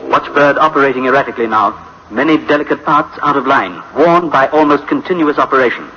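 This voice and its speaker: An actor playing a terse, grave narrator in a 1960s science fiction radio drama. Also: male voice, mid-range voice, animated, a little fast, over music, natural voice. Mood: grave, reporting. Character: terse, grave male narrator reporting machine behaviour. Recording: muffled radio buried in loud noise.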